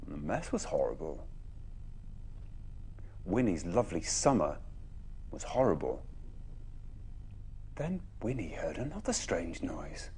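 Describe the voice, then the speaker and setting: A man reading a children's bedtime story expressively, with dramatic pauses and emphatic phrasing. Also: sits low, very animated, darkish tone, slight background noise.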